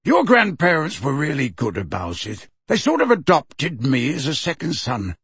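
A man voicing a bitter grown man who speaks coldly and impatiently, a little higher than his narration. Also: huge pitch swings, moderate pace, fairly bright tone, husky, no echo, heavily acted. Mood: cold, curt. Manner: cold, curt, impatient. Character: bitter cold-spoken grown man, house heir.

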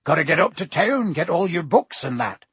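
A man voicing a gruff, friendly giant gamekeeper, hearty and proud, with husky, folksy, colloquial speech. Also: fairly high pitch, expressive, measured pace, no room sound, exaggerated voice. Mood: loud, brisk. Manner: folksy, colloquial, dropped words.

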